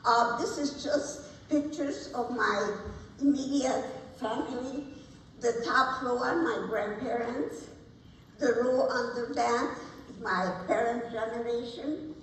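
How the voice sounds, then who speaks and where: A woman in her nineties from Hungary, giving personal testimony to an audience in a plain-spoken, halting way. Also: a little high. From Budapest, Hungary. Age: 90s. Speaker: guest speaker, Holocaust survivor. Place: large hall at a ceremony. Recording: echoey hall, distant mic, faint noise.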